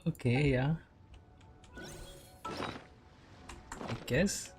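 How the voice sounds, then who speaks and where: A woman's voice: a bass voice, drawn-out words. A woman giving casual live reactions as she streams a game, in brief, muttered remarks. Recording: loud game music behind the voice. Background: video game music and audio.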